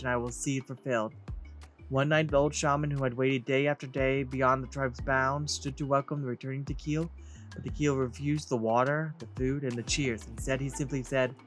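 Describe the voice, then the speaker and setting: A man's voice: mid-range voice, a bit bright. A man hosting a let's play, reading game text aloud and commenting casually. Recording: game music behind the voice.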